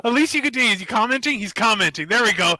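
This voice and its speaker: A man's voice: very high voice, expressive, fast, pushed and bright, no room sound. A man hosting an online comedy show over a video call, bantering in a rambling, profane, playful way. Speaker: show host.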